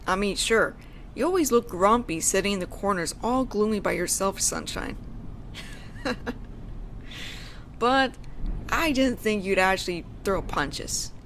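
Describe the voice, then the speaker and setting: A woman playing a teenage delinquent girl in an intimate audio roleplay, casual and teasing with a softly caring side and thoughtful pauses. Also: medium pitch, animated, a little hiss or hum. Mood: teasing, impressed. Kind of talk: slow intimate audio roleplay addressed to the listener.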